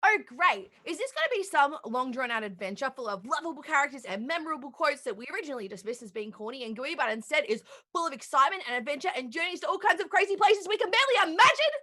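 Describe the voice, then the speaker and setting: A woman's voice: high-pitched, animated, quick pace, hardly any pauses, sharp tone. A woman playing a pert, whiny, bossy little granddaughter in a comic play. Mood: pert, curious.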